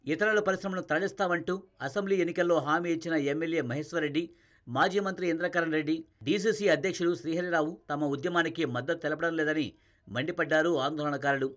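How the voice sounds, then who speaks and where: A man reading a scripted television news voice-over in a brisk, dramatic delivery. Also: on the high side, steady tone, a bit bright. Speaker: news narrator.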